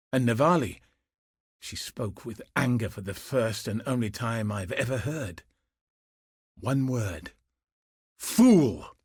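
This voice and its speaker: An actor playing a gruff, weary and wry veteran soldier and tracker in a video game, speaking in a measured, husky voice. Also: male voice, mid-range voice, no echo, studio quality. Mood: grave. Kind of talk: scripted video game dialogue.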